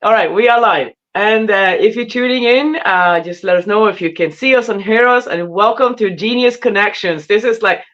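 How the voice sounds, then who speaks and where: Excitable woman podcast host, dull lo-fi audio. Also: mid-range voice, moderate pace, hardly any pauses. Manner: excitable, informal. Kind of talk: casual live interview chat.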